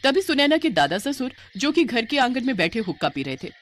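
A woman's voice: fairly high pitch, sing-song, a little fast, fairly bright tone. A woman narrating a scripted family moral story.

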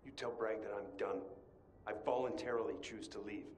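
Low-voiced, cynical American asylum patient played by a man. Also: steady tone, moderate pace, a little mellow, a little hiss or hum, lightly acted. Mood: angry, demanding. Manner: wisecracking, sardonic, old-fashioned American slang. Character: cynical, jaded male asylum patient, restless cellmate. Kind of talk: video game acting.

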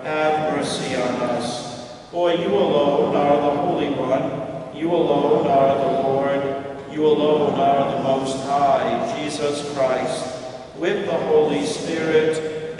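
A man recites the mass prayers as a priest, slow and solemn, his voice a touch high. He is picked up from a distance in a large church, with long reverb filling the room. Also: fairly even intonation, crowd noise. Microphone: stand mic at lectern, far camera. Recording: echoey church from far off.

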